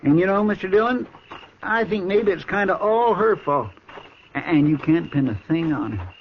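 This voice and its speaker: A man's voice: sits high, lively intonation, normal speed. An American man playing a folksy, loyal frontier deputy in a 1950s radio western, speaking deferentially. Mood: pondering. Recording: muffled, hissy 1950s radio recording.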